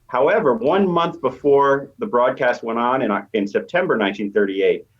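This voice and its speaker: A man's voice: medium pitch, normal speed. A man speaking as an expert guest, explaining conversationally and thinking aloud as he goes. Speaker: expert guest, media professor. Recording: slightly dull, lo-fi line.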